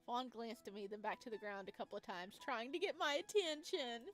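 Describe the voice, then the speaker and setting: High-voiced woman narrating a visual novel. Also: a little fast. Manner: expressive, informal. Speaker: let's play host and narrator. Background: music.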